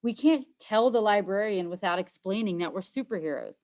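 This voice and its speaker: A woman's voice: mid-range voice, animated, a little fast, a little mellow, lightly acted. A woman voicing a protective schoolboy in a children's storybook, insistent, exasperated and prone to bluffing. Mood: challenging. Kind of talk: children's storybook read-aloud.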